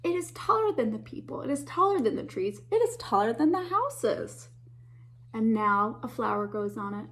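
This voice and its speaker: A woman with a slightly high voice reads a children's picture book aloud in the warm, expressive way of a teacher at storytime. She shifts her pacing for suspense, sometimes hushing to a whisper, and stops now and then to ask little listeners what they can spot on the page. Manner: animated, gasps and whispers for suspense, pauses to point out pictures.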